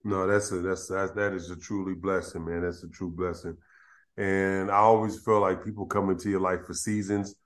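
A man's voice: low-pitched, normal speed. Laid-back slangy male podcast host. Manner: relaxed, slangy, with filler words and restarts. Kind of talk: casual interview chat.

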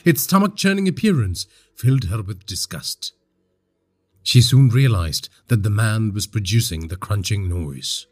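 A man from India narrating a scripted horror story in a husky voice, with dramatic, suspenseful delivery. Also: lowish voice, animated, unhurried, over loud music.

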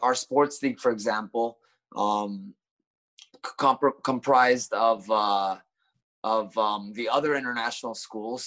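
A man from Japan, a guest in a casual interview, recounting his upbringing in a relaxed, halting way with many fillers. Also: mid-range voice.

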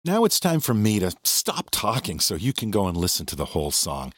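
A man presents a music podcast in a husky voice with lively, varied intonation. He explains things conversationally and at a measured tempo of thought. The sound is dry and close to the microphone. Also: lowish voice, dry recording. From USA.

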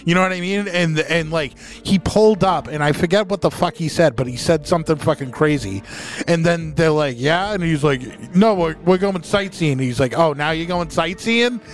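Dude on a podcast, kinda higher voice, swears every other word, slangy story, bit of music under it. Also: male voice, moderate pace. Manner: slangy, heavily profane. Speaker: podcast co-host. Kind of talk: casual anecdote chat.

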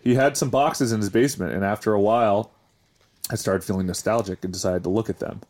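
Man, low-pitched voice, podcast host, casual conversational delivery, informal and loose. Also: fast, a little mellow. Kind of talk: casual chat, reading listener confessions aloud.

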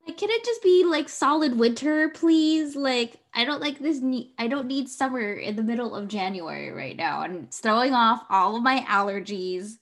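A woman co-hosting a podcast sounds nasal and stuffed up from allergies. Her fairly high voice swings up and down. She chats in a giggly way with many fillers. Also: normal speed, few pauses. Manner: expressive, giggly, full of "like". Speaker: podcast co-host. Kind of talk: casual podcast chat.

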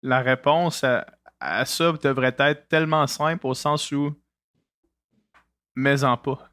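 A man's voice: medium pitch, takes it slowly, a bit bright, clean tone, no room sound. A man with a Québécois accent hosting a podcast, asking questions in a hesitant way, with lots of fillers and restarts. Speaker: podcast host. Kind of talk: casual interview.

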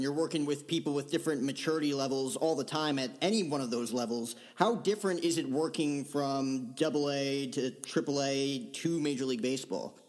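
A man's voice: mid-range voice, a little mellow. A man hosting a podcast, asking long, rambling questions between short affirmations. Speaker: podcast host. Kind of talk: casual interview.

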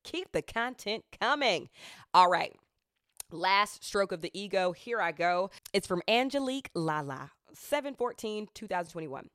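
A woman's voice: medium pitch, expressive, moderate pace, a bit bright, no echo. A woman hosting a podcast, chatting and reading aloud in an effusive, emphatic manner. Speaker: podcast host. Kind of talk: podcast chat, reading out listener reviews and interviewing.

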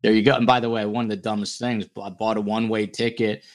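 A man's voice: lowish voice, little pitch movement, fast. A man hosting a casual true-crime panel podcast, talking in a blunt, teasing, jokey way. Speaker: podcast host.

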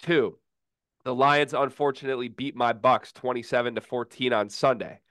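A man co-hosting a casual sports podcast, sick and feeling rough, with dry deadpan teasing. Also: medium pitch, moderate pace, no echo. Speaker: podcast co-host.

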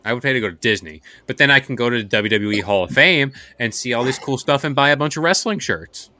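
A man hosting a casual podcast, talking in an excited, rambling way. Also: medium pitch, fast. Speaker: podcast host. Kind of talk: casual chat.

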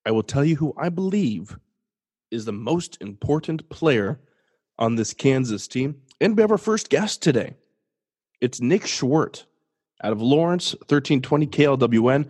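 A man's voice: mid-range voice, expressive, unhurried, darkish tone, husky. Congested young man hosting a sports podcast. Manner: casual, jokey, restarts and self-deprecating asides. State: congested, sniffly. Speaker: podcast host. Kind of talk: solo sports podcast commentary.

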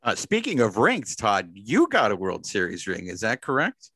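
A man's voice: fairly low pitch, huge pitch swings, moderate pace, bright, forceful tone, breathy edge. An American man co-hosting a podcast in casual conversation. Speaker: podcast co-host. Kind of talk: casual chat.

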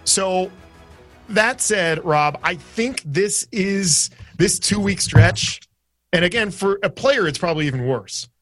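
A man's voice: high voice, lively intonation, slow, a bit bright, soft background music. A man co-hosting a casual sports podcast, chatty and jokey as he steers the segments. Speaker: podcast co-host.

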